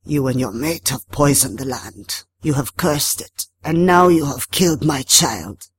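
A woman voicing an ancient vengeful water spirit in a storybook, calm and menacing, with slow, solemn, formal phrasing. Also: sits low. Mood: grave, accusing.

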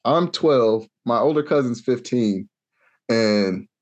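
A young adult man from Kansas telling a long, animated story in a casual podcast chat, acting out the voices he quotes. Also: mid-range voice, slow pace. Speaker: podcast co-host.

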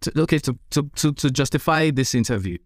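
A man with a Nigerian accent hosting a podcast interview, speaking earnestly and haltingly, often repeating words. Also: mid-range voice, expressive, dry recording, studio quality.

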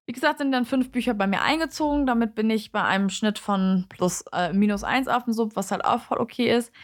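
A young adult woman, a native German speaker, giving a casual, chatty book review in an informal, candid way, often correcting herself. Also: mid-range voice.

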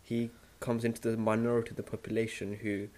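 A man's voice: fairly low pitch, little pitch movement, quick pace, darkish tone. A young man in his twenties, raised in India and London, chatting casually as a podcast co-host with dry, teasing humor. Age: 20s. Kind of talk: casual chat.

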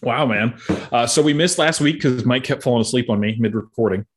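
A man's voice: mid-range voice, brisk, slightly rough. A man in his thirties co-hosting a casual podcast, talking loosely with lots of fillers and frequent laughter. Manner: rambling, laughs often, many fillers. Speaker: podcast co-host.

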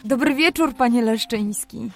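An actress playing a kind, sociable young apothecary's daughter in a period radio drama, polite and gently coaxing. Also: female voice, a little high, normal speed, gravelly, faint music behind. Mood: caring.

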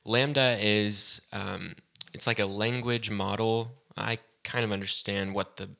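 A man co-hosting a casual tech podcast, explaining in a relaxed way with lots of "like" and "um". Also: lowish voice, a little slow, vocal fry. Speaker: podcast co-host.

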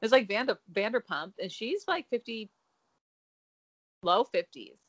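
A woman's voice: on the high side, big pitch swings, unhurried, no echo, lo-fi sound. A young woman co-hosting a casual gossip chat, wry and giggly, hedging with lots of likes and I means. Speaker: podcast co-host.

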